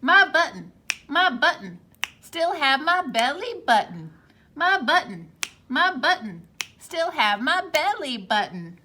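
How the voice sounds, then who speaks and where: A woman's voice: high-pitched, very animated, takes it slowly, pushed and bright, exaggerated voice. A woman singing the part of a cheerful, cool male cat from a children's storybook in a sing-song chant.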